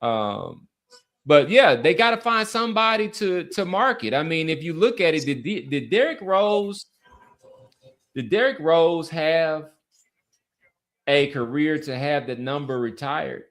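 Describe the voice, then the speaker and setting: A man's voice: fairly high pitch, expressive, measured pace, a bit bright. A man co-hosting a sports podcast, talking casually and arguing his points conversationally.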